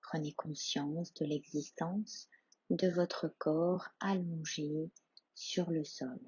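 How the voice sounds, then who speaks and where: A woman leading a guided yoga nidra meditation as instructor, hushed, calm and soothing, leaving long pauses between short phrases. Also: low-pitched, breathy edge, no room sound, highs cut off.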